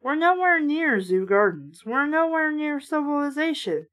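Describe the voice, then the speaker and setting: A woman's voice: sits high, expressive, normal speed, a bit bright. A woman voicing a short-tempered, dramatic father in a storybook, snappish and exasperated. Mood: grim snapping.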